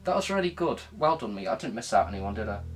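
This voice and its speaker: A man's voice: fairly low pitch, very animated, quick pace, music in the background. A man hosting a review channel, delivering an enthusiastic scripted review with chatty, emphatic, self-deprecating asides.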